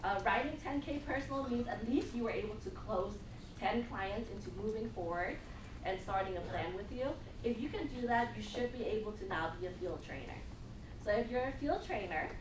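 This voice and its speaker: A woman giving a casual live training talk to a group as presenter and interviewer, often checking in with listeners. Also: mid-range voice. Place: indoor meeting room with an audience. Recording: echoey, noisy room, far from mic.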